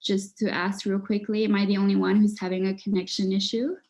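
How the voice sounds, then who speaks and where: A Malaysian woman speaks over a video call in a middle-pitched voice, reassuring and steady. She keeps checking in with her listener as she answers questions in an online webinar. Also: brisk, poor audio.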